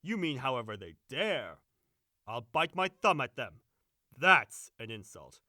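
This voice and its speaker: A man's voice: mid-range voice, sing-song, a little slow, pushed and bright, dry recording, clean studio sound, full character voice. Sampson, a man playing a crude cocky servant. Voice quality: boastful, crude swagger. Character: brash boastful young male servant, crude braggart. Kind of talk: audio drama acting.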